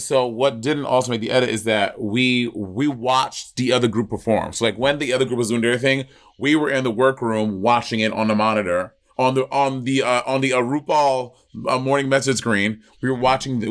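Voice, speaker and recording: A man in his thirties with an American accent co-hosting a casual review chat, retelling moments in an animated way with acted-out quotes. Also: mid-range voice, a little fast, hardly any pauses. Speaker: podcast co-host. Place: small indoor room at home.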